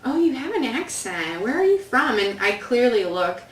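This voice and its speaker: Chatty Chicago host in an echoey room. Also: female voice, on the high side, big pitch swings, moderate pace. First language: Polish. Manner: chatty, enthusiastic. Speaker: interview host. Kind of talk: casual interview conversation. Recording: echoey room, mic at a distance.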